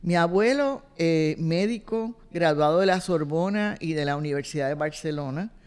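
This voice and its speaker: A woman in her seventies with a Puerto Rican accent, recalling her family in an oral history interview, with unhurried fillers. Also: low voice, darkish tone, clear, resonant tone. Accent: Puerto Rican Spanish. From Puerto Rico. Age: 70s. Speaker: interviewee, retired cultural director. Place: boardroom.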